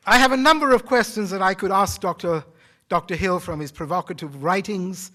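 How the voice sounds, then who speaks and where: Older South African man, courteous moderator, fairly high voice. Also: pushed and bright. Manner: measured, courteous. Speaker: university moderator. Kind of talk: formal remarks at a podium.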